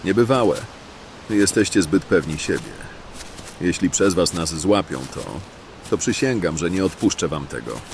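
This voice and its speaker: A man's voice: low-pitched, lively intonation, unhurried, slight background noise. A man playing a wary, grumbling outlaw in a fantasy audio drama. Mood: resentful. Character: wary, grumbling male bandit, anxious about capture. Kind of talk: fantasy radio drama acting.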